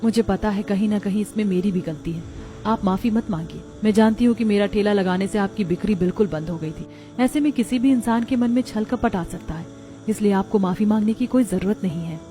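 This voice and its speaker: A woman's voice: mid-range voice, a little fast, warm tone, soft background music, natural voice. A woman voicing a humble, honest female snack seller in a cartoon, earnest and apologetic. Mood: forgiving.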